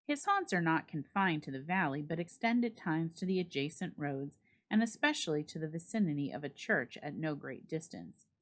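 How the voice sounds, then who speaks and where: A woman reads a literary story aloud as a dramatic narrator, with measured, expressive phrasing. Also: low-pitched. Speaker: library staff reader and host.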